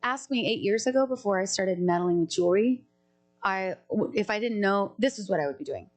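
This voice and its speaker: A woman's voice: mid-range voice, animated, fairly quick, faint music behind. A woman, a singer-songwriter, chatting in a casual podcast interview and jumping from thought to thought with many restarts.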